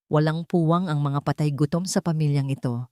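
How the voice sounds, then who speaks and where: A woman's voice: low voice, fairly even intonation, brisk, warm tone, no echo, natural voice. Lady doing a snooty smug other woman, haughty and snippy, dry close sound. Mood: smug scorn. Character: snooty, sharp-tongued mistress, smug and scornful.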